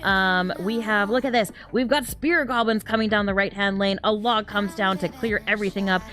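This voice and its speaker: A woman's voice: mid-range voice, steady tone, normal speed, fairly bright tone, faint music behind. A woman in her thirties doing live game commentary on a stream, chatty, with lots of little fillers. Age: 30s. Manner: chatty, laughs often, frequent "um" fillers. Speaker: esports caster.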